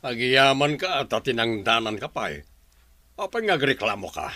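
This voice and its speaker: A man's voice: mid-range voice, big pitch swings, normal speed, bright, forceful tone, no echo. A man playing a stingy, curt, scornful farm boss in a radio drama. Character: stingy, dismissive farm boss who underpays workers.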